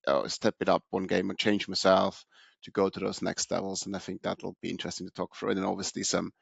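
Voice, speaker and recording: A middle-aged man from Austria speaks in a low, gravelly voice, recounting his career in a relaxed, unhurried way. He often restarts phrases and fills pauses. The sound is dry and close, with no room echo. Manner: relaxed, many restarts and fillers. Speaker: podcast guest. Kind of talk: casual interview storytelling.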